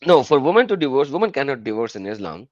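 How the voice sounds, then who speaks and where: A man's voice: medium pitch, fast, no echo. Man, native Urdu speaker, live stream host, casual explaining, dry dull recording. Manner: animated, explanatory, many fillers like "you know".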